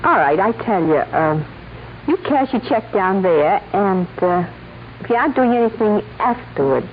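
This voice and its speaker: A woman's voice: mid-range voice, expressive, moderate pace, narrow, tinny audio, close to an everyday voice. Woman acting a coy, lilting 1940s clerk. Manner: coy, playful hesitations. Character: coy flirtatious female check-cashing clerk. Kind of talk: 1940s radio crime drama acting. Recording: thin, noisy 1940s radio recording.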